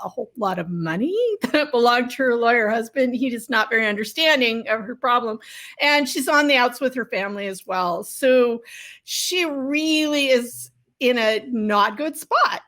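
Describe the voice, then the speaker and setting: A woman author being interviewed, chatting casually and telling stories in an excitable, upbeat way. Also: fairly high pitch. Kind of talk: casual storytelling chat.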